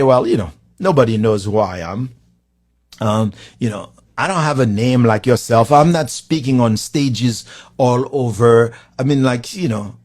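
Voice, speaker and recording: A man from Haiti with a Haitian accent, giving a live-streamed motivational talk to viewers, building his lines with slow, preacherly emphasis. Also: medium pitch, a little mellow, no echo, studio quality. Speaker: motivational speaker.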